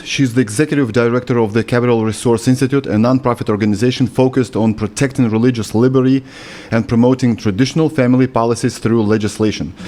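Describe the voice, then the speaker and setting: A man with a Lithuanian accent hosts an interview in English, his second language. He talks at an ordinary pitch for a man, picking his words with care and sometimes stopping to rephrase. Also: dark tone. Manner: careful, slightly halting non-native English. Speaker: host.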